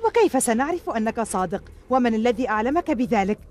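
A young woman fighter in an action cartoon, high and very animated. She fires off her lines fast, sharp and suspicious, with music loud behind her. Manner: sharp, challenging. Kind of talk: dubbed cartoon acting.

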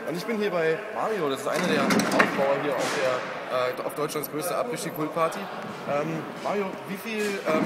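A man in his thirties presenting an on-location promotional report in a chatty, upbeat announcing manner. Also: on the high side. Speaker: radio presenter reporting on site. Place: event site, outdoors and inside big tent. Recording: loud ambient noise, on-camera location sound.

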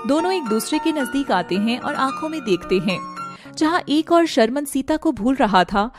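An Indian woman reads a scripted tale as its narrator. Her voice sits high, and music plays clearly behind her storytelling.

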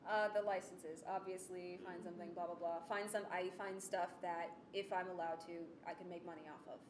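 A young adult woman giving a casual presentation, chatty, animated and self-deprecating. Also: fairly low pitch, a little fast, background noise. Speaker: student presenter of an audio drama project. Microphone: desktop microphone on the table. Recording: roomy, echoey sound with music behind.

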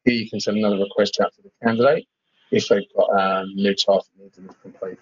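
A man giving an informal software walkthrough as a webinar presenter, hesitating and repeating words as he explains. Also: fairly low pitch, fairly even intonation, fast talker, husky, no echo, lo-fi sound. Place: screen-share webinar voice-over.

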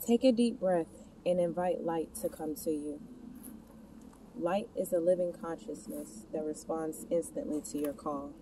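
Low-pitched woman guiding a meditation, distant noisy recording. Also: measured pace. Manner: instructional, addressing the listener with gentle imperatives and questions. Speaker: meditation guide. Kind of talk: guided meditation, scripted narration. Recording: distant mic, clear background noise, ambient rumble.

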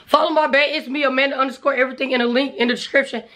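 A woman vlogging a prank in a small bedroom, talking loudly and playfully to viewers into a phone held at arm's length, her voice going hoarse. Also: sits high, fairly even intonation, rapid-fire. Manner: loud, playful, teasing, shouts often. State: losing her voice. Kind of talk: hyped casual vlog talk to viewers. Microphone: phone camera held at arm's length.

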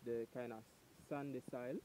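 A man, a farmer, answering questions outdoors in a hesitant, soft-spoken way with many fillers. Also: medium pitch, little pitch movement, moderate pace, a little hiss or hum, poor audio. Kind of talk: casual interview answers. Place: outdoors on a farm.

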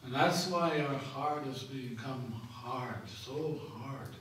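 An older man, a monk, telling anecdotes in an unhurried lecture, pausing for his interpreter, in a large festival tent. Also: mid-range voice, fairly bright tone, distant mic, slight background noise. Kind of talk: storytelling talk. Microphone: stand mic. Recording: echoey hall, heard from afar.

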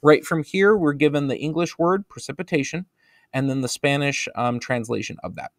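A man with an American accent narrating a screen-recorded software tutorial for teachers, relaxed and explanatory, with frequent ums and uhs. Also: fairly high pitch, normal speed, no room sound, clean studio sound. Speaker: instructional technology trainer.

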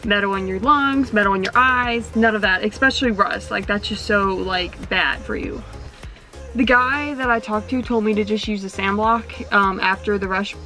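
A woman's voice: medium pitch, moderate pace, pushed and bright, soft background music. A woman in her twenties chatting casually to camera as she fixes up a camper van, talking while she works. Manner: chatty, talks straight to camera while working. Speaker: vlogger. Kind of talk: casual how-to vlog.

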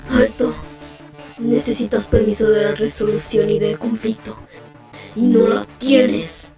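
A woman as a slow, menacing robot supercomputer. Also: a little high, fairly even intonation, vocal fry, dark tone. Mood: stern. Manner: slow, measured, sarcastic. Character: cold, menacing female supercomputer robot, sarcastic and vindictive. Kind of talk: Spanish fan dub of a video game. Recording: music behind the voice.

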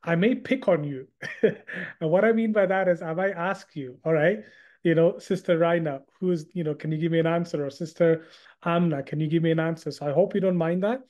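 A man in his thirties from Hong Kong speaks over a video call, teaching an online class. His voice sits a little high yet sounds mellow and relaxed. He is chatty, repeats himself and keeps asking listeners questions. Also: fairly quick, lo-fi sound. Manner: chatty, repeats words, asks the audience questions. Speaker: Islamic studies teacher.

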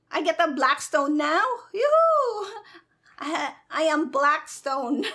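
A woman streamer commenting playfully over a game in a very high-pitched voice. She takes her time with the words, sounding giggly and sassy, and her tone is bright and sharp. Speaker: gaming streamer. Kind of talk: casual playful gameplay commentary.

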